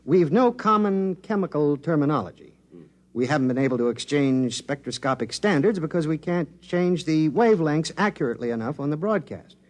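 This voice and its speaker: An actor in a 1950s radio drama playing an earnest male linguist briefing reporters about contact with aliens. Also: on the high side.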